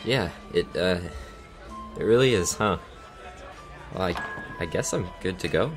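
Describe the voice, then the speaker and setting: A man playing a nervous, hesitant crew member in a fantasy audio drama, halting and uneasy as he talks. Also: sits low, expressive, over music.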